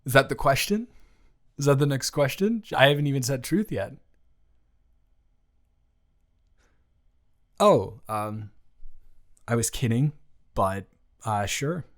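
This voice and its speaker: A man acts out an earnest ex-boyfriend in an intimate audio roleplay. He speaks slowly in a mid-pitched voice, halting and stammering as he goes. He comes across as tender and a little teasing, often trailing off mid-thought. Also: expressive, close to an everyday voice. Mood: flustered, teasing.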